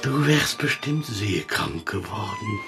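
A man's voice: on the low side, very animated, takes it slowly, music in the background. An actor playing a melancholy, pompous old man of letters in a German radio play, theatrical and muttering as he corrects himself. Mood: wistful, grumbling. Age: older.

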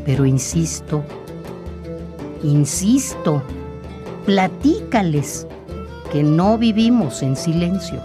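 Woman reciting poetic radio piece, slow n insistent, kinda low voice, music under it. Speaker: narrator of a radio capsule. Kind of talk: poetic scripted solidarity narration over music. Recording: guitar music behind the voice. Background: guitar music.

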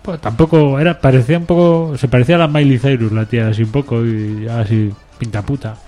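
A man reads out odd news stories on a small radio show. His speech is loose and colloquial, and jokes break up his reading. His voice sits in an ordinary middle pitch. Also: a little fast, mellow, faint music behind. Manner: joking, laughs between items, colloquial. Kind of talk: casual news chat, half read.

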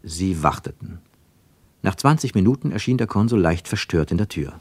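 Low, dry-sounding male radio drama narrator. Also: expressive, moderate pace, slightly rough, no room sound.

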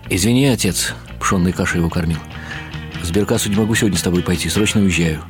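A male actor as a world-weary writer narrating a radio drama. His voice is very low, his delivery ironic and musing, a little self-mocking. Music plays clearly behind him. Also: fast, close to an everyday voice. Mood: wry, musing. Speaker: narrator and lead character.

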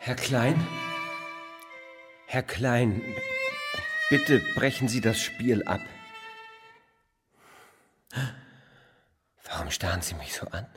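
A man's voice: mid-range voice, animated, slow, over music. An actor playing a bitter, self-loathing bridegroom in his thirties, anguished and brooding, in a German radio drama. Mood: despairing. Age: 30s.

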